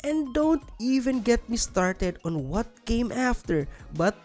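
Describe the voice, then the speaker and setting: A woman's voice: a little high, big pitch swings, slow pace, a little mellow. A woman narrating scripted countdown commentary in an opinionated, sardonic, emphatic way. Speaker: video narrator. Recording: music bed under voice-over. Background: background music.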